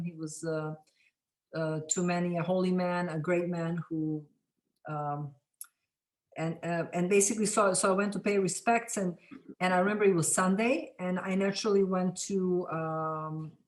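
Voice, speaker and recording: A middle-aged woman from Serbia with a Serbian accent, telling her story as an interview guest, halting often and restarting her sentences. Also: on the low side. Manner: halting, many restarts and fillers. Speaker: film director, interview guest. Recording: echoey room.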